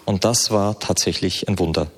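Low-voiced male interpreter in a hall. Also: fairly even intonation, normal speed, husky. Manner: fluent, with frequent hesitation fillers. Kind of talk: consecutive interpreting of a sermon. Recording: reverberant hall.

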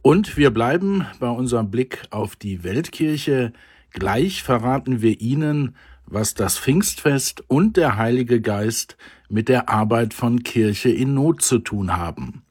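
Slow, husky German radio host. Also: male voice, mid-range voice, lively intonation, a little mellow. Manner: measured, formal and courteous. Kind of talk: radio interview and presenting.

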